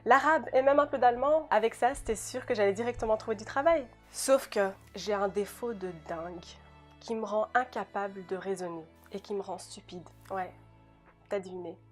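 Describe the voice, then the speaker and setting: Young woman, native Dutch speaker from Belgium, scripted testimony, direct and sincere, music clearly underneath. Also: mid-range voice, moderate pace. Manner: earnest, direct, addresses the listener as a friend. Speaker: law graduate giving a testimony.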